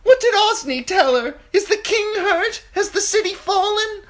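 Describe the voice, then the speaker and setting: A man voicing a hysterical old woman and frightened noblewomen who wail and shout. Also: lively intonation, moderate pace. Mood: demanding. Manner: wailing, shrill demanding.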